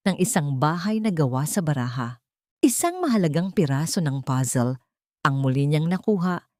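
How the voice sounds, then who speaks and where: Low-pitched Filipino woman narrating drama. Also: a little mellow, no echo, studio quality. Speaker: storyteller. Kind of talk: dramatic scripted narration of audio story.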